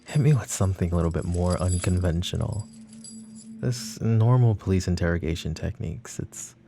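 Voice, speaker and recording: A man voicing a smug, flirtatious mafia boss who teases and taunts the woman questioning him, in soft-spoken roleplay ASMR. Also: low-pitched, moderate pace, gravelly, a little hiss or hum. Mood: smug teasing. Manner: teasing, taunting, calls her pet names.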